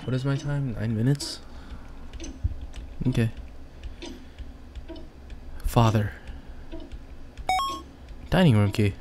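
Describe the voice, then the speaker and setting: A man's voice: medium pitch, slow, pauses often, background noise. A young man playing a game casually, reading its text aloud and joking about it. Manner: chatty, reads text then jokes about it. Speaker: gamer.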